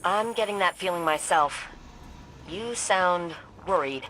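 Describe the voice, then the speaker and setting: An actress playing a confident, quick-witted female pilot-scientist in a video game, with a dry, casual, sardonic manner. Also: lowish voice, lively intonation, a bit bright, a little hiss or hum, low-quality recording. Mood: alarmed. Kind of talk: scripted video game dialogue.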